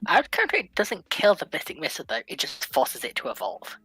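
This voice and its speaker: A woman in a casual livestream debate, argumentative and quick to counter the other side's points. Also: sits low, wildly swooping pitch, pushed and bright. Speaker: livestream panelist.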